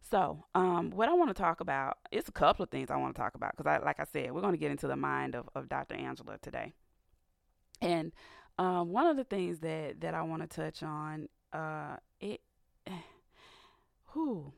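A woman hosting a faith-based radio talk show, raspy and a little hoarse from sinus trouble, speaking earnestly and building to a preacher's fervor. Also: low voice, no room sound, studio quality. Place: radio studio.

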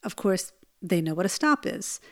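A woman hosting her own podcast, speaking in a gentle, earnest way and telling personal stories. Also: on the low side, lively intonation, normal speed. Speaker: podcast host. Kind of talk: solo podcast talk.

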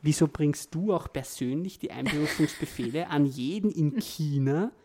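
Gravelly dad ranting for laughs. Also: male voice, fairly high pitch, normal speed, warm tone. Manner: joking, ranting with exaggerated disbelief. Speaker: podcast co-host, parent. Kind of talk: casual chat.

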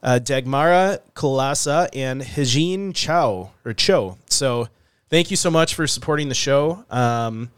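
Man, podcast co-host, a bit stuffy-nosed, smooth clear voice, dry close sound, loose and chatty. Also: medium pitch, a little slow, no echo. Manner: relaxed, chatty, frequent "uh" and "um". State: slightly nasal from allergies. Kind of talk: casual podcast chat.

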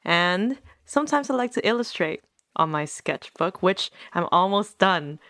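A woman's voice: on the low side, animated, unhurried, a bit bright, dry recording, clean studio sound. A young woman from Taiwan, a university art student, chatting casually as a podcast guest and laughing often. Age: young adult. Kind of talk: casual chat.

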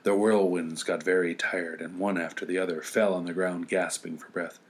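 A middle-aged man with a low voice reads a fairy tale to children. His storytelling slips now and then into joking commentary. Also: flat delivery, normal speed. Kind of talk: animated children's story reading with joking asides.

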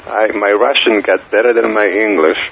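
A man's voice: on the low side, steady tone, normal speed, gravelly. A middle-aged man from Poland with a Polish accent, speaking as an interview guest in a casual, hesitant way with many fillers. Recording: tinny phone line with faint hiss.

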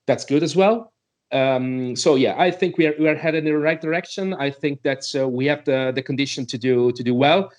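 A man's voice: mid-range voice, fairly quick. Hesitant man searching for words. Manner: hesitant, searching for words, non-native phrasing. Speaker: podcast panelist. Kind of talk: casual podcast opinion.

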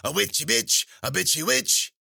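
A man voicing a crazy old witch woman in an audiobook, a gleeful, crude bully who taunts and cackles loudly. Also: medium pitch, huge pitch swings, normal speed, gravelly, breathy edge, no echo, clean studio sound, pitched above the performer's own voice, full character voice. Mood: gleeful cackling.

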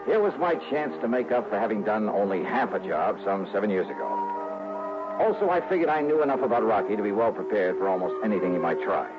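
An actor playing a tough, brisk American investigator who narrates his case in a 1950s radio detective drama, with clipped, insistent delivery. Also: on the low side, lively intonation, natural voice. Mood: wry, determined. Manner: hard-boiled, clipped, insistent. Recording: muffled old radio recording, music behind.

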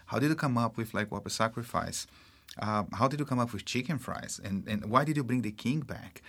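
A man from Brazil with a Brazilian accent, a marketing executive speaking as an interview guest in a halting way, full of fillers and restarts. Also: mid-range voice, a bit bright. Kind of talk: casual interview talk.

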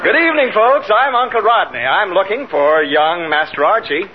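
An actor playing a formal, hearty children's-show uncle in a 1950s radio comedy. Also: male voice, sits high, big pitch swings, moderate pace, a loud crowd. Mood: jovial. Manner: jovial, formal, well-spoken. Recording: dull, faintly noisy vintage radio recording.

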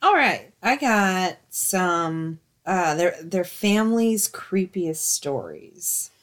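A woman's voice: lowish voice, expressive. Woman co-host, lots of gasps and oh my gods, chatty. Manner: reactive, quick gasps and exclamations. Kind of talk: casual chat.

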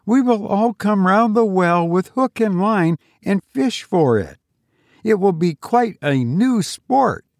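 A man's voice: sits high, expressive, takes it slowly, a little mellow, no room sound, studio quality, pitched above the performer's own voice, exaggerated voice. A man voicing a young woman in an audiobook, girlish and emotional, tender and easily startled. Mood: playful, curious. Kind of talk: audiobook character voice.

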